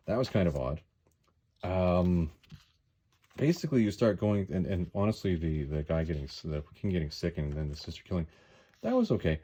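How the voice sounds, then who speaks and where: A man's voice: on the low side, mellow, slightly rough. A man giving a casual, rambling comic book review in a wry, hesitant way, often repeating his words.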